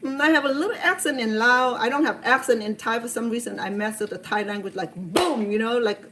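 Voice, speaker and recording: A woman's voice: a little high, brisk, pushed and bright, low-quality recording. A woman in her sixties from Cambodia with a Cambodian accent, hosting a cooking video and telling her story in an earnest, heartfelt way with many restarts. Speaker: cooking show host.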